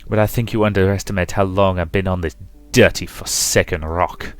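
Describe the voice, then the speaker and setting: A man voicing an ancient, callous male predator who feeds on people, talking in a smooth, condescending, amused way. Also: deep voice, steady tone, brisk, no echo, close to an everyday voice. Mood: silky. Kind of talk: visual novel voice reading.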